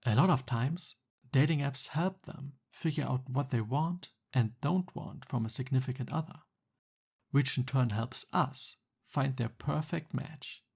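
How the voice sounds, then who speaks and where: A man's voice: medium pitch, a little slow, creaky, a little mellow, breathy edge. A man playing a jealous, exasperated young Cupid best friend in a conversational boyfriend roleplay ASMR. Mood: explaining, wry.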